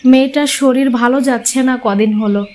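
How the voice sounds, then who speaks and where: A woman's voice: sits high, normal speed, darkish tone, slight background noise, lightly acted. A woman voicing a long-suffering, sorrowful young mother in a cartoon fairy tale, earnest in manner. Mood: worried pleading.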